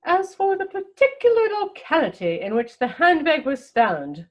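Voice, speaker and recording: A woman playing a haughty, snobbish older aristocratic lady in a comic play reading, imperious and pompous, with deliberate enunciation. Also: high-pitched, sing-song. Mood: scandalized, haughty.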